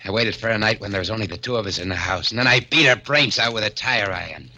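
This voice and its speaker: An actor playing a panicked young male killer in a 1940s radio drama, jumpy and rising to frantic shouts. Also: on the low side, fast talker, no echo. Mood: confessing. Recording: old radio broadcast with faint hiss.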